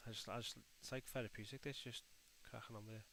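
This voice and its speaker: Scouse male streamer, low and even, laid-back chat to viewers, calls everyone mate. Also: fast talker, slight background noise. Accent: Liverpool (Scouse). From Liverpool, England. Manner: chatty, calls viewers mate and lad, mutters to himself while working. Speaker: livestream host. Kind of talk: casual live-stream chat while building in a game editor.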